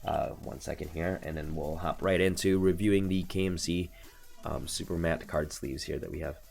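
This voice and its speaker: A man's voice: deep voice, fairly even intonation, moderate pace, vocal fry, a little mellow, soft background music. An American man in his thirties, stuffy from allergies, rambling through a casual product review on a home stream with lots of fillers and self-corrections. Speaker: streamer reviewing card game accessories. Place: home desk room.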